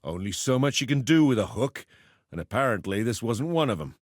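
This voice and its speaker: Cheeky swaggering arena brawler. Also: male voice, mid-range voice, big pitch swings, brisk, no echo, studio quality. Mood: wry, bargaining. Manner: cheeky, slangy, theatrical, swaggering tough-guy talk. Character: roguish adult male arena fighter and pitmaster turned syndicate member. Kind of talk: video game character voice lines.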